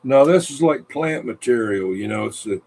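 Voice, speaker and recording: A man's voice: mid-range voice, expressive, normal speed, vocal fry, darkish tone. A man chatting casually and in a rambling, folksy way as a CB radio operator hosting a show.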